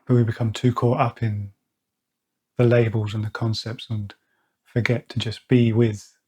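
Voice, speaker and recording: A man leading a guided meditation, speaking slowly and softly with long pauses. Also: mid-range voice, little pitch movement, breathy edge. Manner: slow, soft-spoken, with long pauses. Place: outdoors or by an open window with birds nearby.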